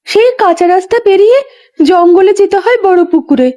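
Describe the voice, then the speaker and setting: A woman performs a young expectant village wife with a high, girlish voice. She talks in a chatty, sympathetic and familiar way, as part of a dramatised horror story. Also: brisk, warm tone. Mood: chatty, unworried.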